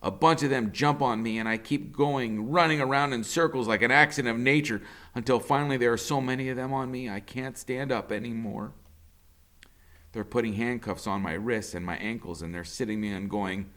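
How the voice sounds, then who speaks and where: A man, a teacher, reading a novel aloud with expressive first-person storytelling. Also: mid-range voice, fairly quick.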